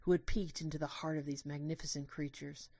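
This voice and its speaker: Audio drama lead, played by a woman: anguished, devoted young storyteller, lower register, intense and expressive, dry sound. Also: brisk, no room sound. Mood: reflective. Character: anguished, devoted young human narrator named Lucas. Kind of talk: dramatic first-person audio drama narration.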